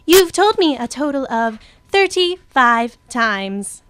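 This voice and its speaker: A woman's voice: sits high, slow pace, clean tone, dry recording, exaggerated voice. A woman playing a literal-minded computer. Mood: matter-of-fact. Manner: flat precise reporting tone. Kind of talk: student radio drama acting.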